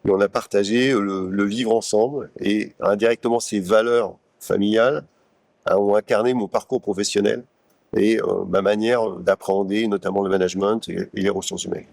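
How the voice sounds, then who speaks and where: A man in his sixties from Paris, a company executive telling his career story in an interview, measured and pausing with many fillers. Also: lowish voice, fairly even intonation, creaky, darkish tone, no echo. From France (Paris). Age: 60s. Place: company showroom.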